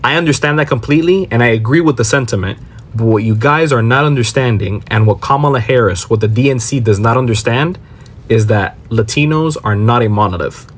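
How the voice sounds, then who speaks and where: A man's voice: mid-range voice, brisk. A man from Miami with an American accent giving emphatic explanatory commentary, asking questions and answering them himself. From Miami, Florida. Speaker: commentator on a social media reaction video. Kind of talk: explanatory political commentary to camera.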